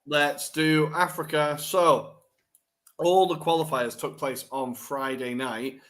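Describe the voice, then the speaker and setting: A man's voice: on the high side, fairly even intonation, slow, a bit bright. A man co-hosting a podcast, chatting casually and bluntly through a football recap and laughing easily. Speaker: podcast co-host.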